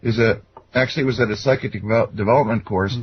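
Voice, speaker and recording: A middle-aged or older man with a husky voice, telling personal stories in an earnest, rambling, explanatory way as an interview guest. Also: on the low side, quick pace, lo-fi sound, slight background noise. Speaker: interview guest, self-described contactee. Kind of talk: conversational personal storytelling.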